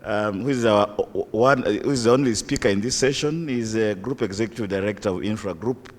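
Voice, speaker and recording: A Kenyan man introduces speakers at a conference, his low voice hesitant and broken by fillers and light jokes. He speaks into a stage microphone, and a long reverb trails him through the hall. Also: fairly quick. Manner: hesitant, many fillers, jokey asides. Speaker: session chair. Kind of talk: conference moderation.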